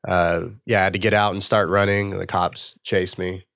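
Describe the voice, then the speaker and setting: A man's voice: deep voice, little pitch movement, normal speed, vocal fry, fairly bright tone, clean tone, no echo. A man with a Texan accent and a dry-sounding voice, chatting as a comedian guest on a podcast, rambling and laughing as he tells stories. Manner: loose, rambling, laughing storyteller. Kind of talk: casual podcast chat.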